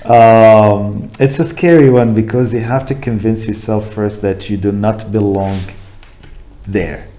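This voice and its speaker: A man's voice: on the low side, steady tone, unhurried, mellow. A middle-aged man from Jordan, a guest talking casually in an interview, thoughtful and full of fillers. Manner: thoughtful, many fillers, laughs easily. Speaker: guest, coffee importer.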